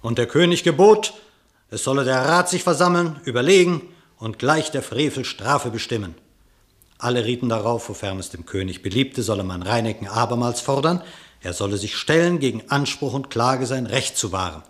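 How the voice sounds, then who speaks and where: A man narrating a verse fable for a radio play, expressive and measured in his declamation. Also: mid-range voice.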